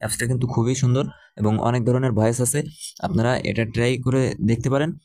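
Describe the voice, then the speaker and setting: Fast, flat-toned man presenting. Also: mid-range voice, dry recording. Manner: repetitive, instructional, addressing viewers. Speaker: tutorial presenter. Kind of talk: app tutorial narration.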